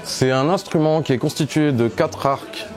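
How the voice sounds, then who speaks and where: A man's voice: on the high side, moderate pace, darkish tone. A man giving explanatory interview answers as an event organiser, piecing his sentences together with some hesitation. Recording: music playing behind the voice. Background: instrument music.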